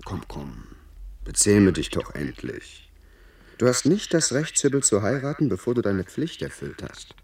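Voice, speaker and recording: A man's voice: lowish voice, very animated, measured pace, gravelly, a little mellow, slightly rough, distant mic, heavily acted. A man playing an insistent tempter urging duty in a German radio play, persuasive and theatrical, sounding set back from the microphone. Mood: urgent, commanding. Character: smooth-talking, insistent inner tempter urging a young lord to duty.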